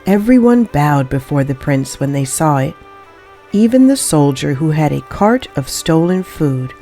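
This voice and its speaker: A woman reading a children's story aloud as an expressive storybook narrator. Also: deep voice, unhurried, faint music behind. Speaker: storybook reader.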